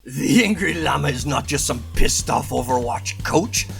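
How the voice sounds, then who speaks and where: A man's voice: medium pitch, expressive, moderate pace, creaky, soft background music. A man hosting a channel, reading aloud and tossing in casual asides in a playful, theatrical way. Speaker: channel host.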